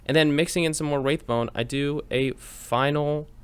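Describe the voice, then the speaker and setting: A man's voice: on the high side, steady tone, normal speed, clean tone. A man narrating a step-by-step tutorial in an informal, chatty, explanatory way. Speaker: host of a miniature painting tutorial.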